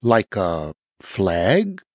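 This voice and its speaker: An actor playing a curious, easily startled foreign traveler in an audiobook, with a puzzled, questioning delivery. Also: male voice, low-pitched, wildly swooping pitch, extremely slow, a little mellow, no room sound, studio quality, full character voice. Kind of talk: dramatized audiobook acting.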